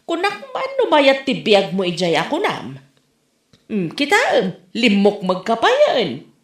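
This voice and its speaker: A woman's voice: medium pitch, huge pitch swings, measured pace. A woman playing a bossy, exasperated nag in a comedy drama. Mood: questioning. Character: scolding, exasperated woman bossing her relative around. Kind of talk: Ilocano comedy radio-style drama. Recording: roomy echo on the voice.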